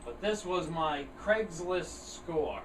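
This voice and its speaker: Guy doing a casual show and tell vlog, echoey room, ac humming loud behind him, kinda rambling and chatty. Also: a little high, expressive, slow pace. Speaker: hobbyist video host. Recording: roomy, distant mic, clear air-conditioner noise. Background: air conditioner running.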